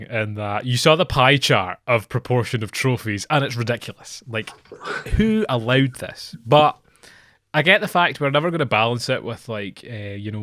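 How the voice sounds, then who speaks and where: A man's voice: mid-range voice, fairly bright tone. Scottish guy cohosting a footy podcast, casual chat, dry sound no echo. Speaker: football podcast co-host.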